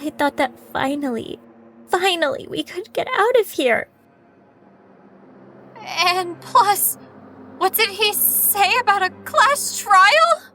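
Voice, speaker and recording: A woman as a very high-pitched anxious schoolgirl. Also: animated, slow, pauses often, bright, forceful tone, a little hiss or hum, full character voice. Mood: distressed, worried. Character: anxious, upset teenage schoolgirl. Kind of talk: anime game fandub.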